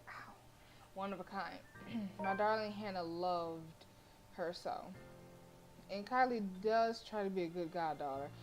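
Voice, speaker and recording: Woman streamer, kinda low voice, reads visual novel out loud n chats over it, bit of music behind. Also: poor audio. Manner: expressive, playful asides. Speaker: game streamer. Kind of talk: reading game text aloud with casual live commentary. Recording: faint game music behind. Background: game music.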